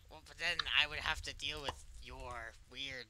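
A man plays a teasing, self-satisfied evil puppet in a game role-play. The voice is sharp and very bright, at an ordinary pitch. He needles playfully while acting innocent. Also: steady tone, normal speed. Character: smug, teasing evil male puppet killer. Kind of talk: in-character banter while playing a game.

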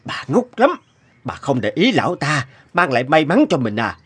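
An actor voicing a scheming, grumpy middle-aged duck seller with a scolding, exclamatory manner in an animated folk tale. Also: male voice, mid-range voice, normal speed, slightly rough.

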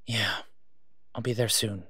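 A man's voice: on the low side, fairly even intonation, a little slow, pushed and bright, breathy edge, no echo, clean studio sound, exaggerated voice. A man playing a protective, exasperated young vigilante hero in an audio roleplay, speaking earnestly and urgently. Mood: worried, urging. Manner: earnest, urging.

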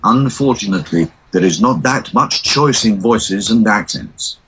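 A man's voice: fairly low pitch, unhurried, slightly rough, poor audio. Synthetic British male computer voice. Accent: British English (synthetic voice). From South Africa. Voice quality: monotone synthetic speech that cannot convey emotion. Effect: text-to-speech voice. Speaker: interview guest. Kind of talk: computer-voiced interview answers.

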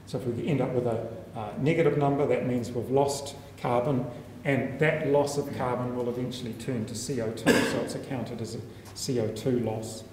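A man's voice: medium pitch, steady tone, moderate pace, darkish tone. Echoey New man lecturing. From New Zealand. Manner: explanatory, with frequent ums and restarts. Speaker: university researcher presenting a seminar. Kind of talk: conference talk. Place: meeting room, indoors. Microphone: stand mic at a lectern. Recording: echoey hall, faint room noise.

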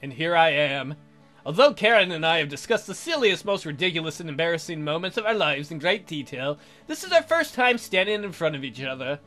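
Man reading a game aloud over soft music. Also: a little high, big pitch swings, fairly quick. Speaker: let's player. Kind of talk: reading visual novel narration aloud, with joking asides.

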